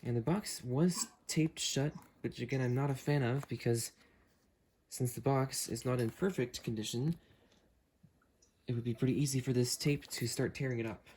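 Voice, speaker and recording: Male unboxing host, mid-pitched, casual hands-on commentary, slight background noise. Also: steady tone, moderate pace, darkish tone. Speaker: host unboxing weather radios.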